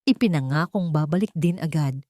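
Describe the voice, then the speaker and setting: Woman reading a drama story slow and measured, lowish voice, dry sound. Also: dark tone, no room sound. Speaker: audiobook narrator. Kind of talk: first-person dramatic story narration.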